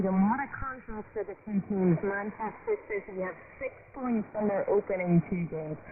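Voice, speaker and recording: A male sports reporter with a high voice reads scripted news. The sound is squeezed into a narrow radio band, with clear static noise around it. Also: far from the mic. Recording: noisy, narrow-band shortwave radio broadcast. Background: clear shortwave radio noise.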